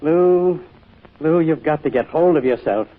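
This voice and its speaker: An actor playing an earnest, caring church minister who speaks in a pleading manner, in an old-time radio drama. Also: male voice, on the high side, a little slow, natural voice. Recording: muffled old radio recording.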